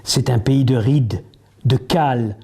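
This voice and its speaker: A man recites verse solemnly in a medium-pitched, dark and relaxed voice. He moves very slowly and deliberately, setting each phrase apart with a pause. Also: vocal fry, husky. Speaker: poetry reader.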